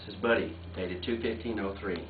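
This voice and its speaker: A man telling stories and reading aloud informally among family, animated and often breaking into laughter. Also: on the low side, a little fast. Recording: muffled phone-like audio, echoey, distant, noisy room.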